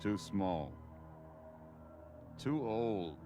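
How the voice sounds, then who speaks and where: A ruthless cartoon cyborg villain. He gives curt orders with menace, his pitch moving dramatically, and music plays clearly behind him. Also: animated, very slow. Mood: cold menace. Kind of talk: animated action cartoon acting.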